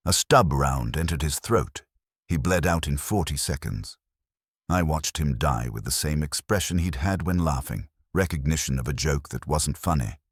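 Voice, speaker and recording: A man's voice: extremely low pitch, lively intonation, normal speed, breathy edge, no room sound, clean studio sound, heavily acted. A man voicing a hollow, faithless warrior nun, telling her grim life as scripted first-person story narration in detached, solemn, clipped sentences. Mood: bleak, detached.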